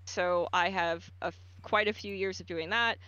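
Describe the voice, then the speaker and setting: A woman's voice: lowish voice, steady tone, normal speed, bright, forceful tone, no room sound, muffled recording. A woman voice actor giving casual advice on a livestream, explaining at length with frequent ums and stammered restarts. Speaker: voice actor guest.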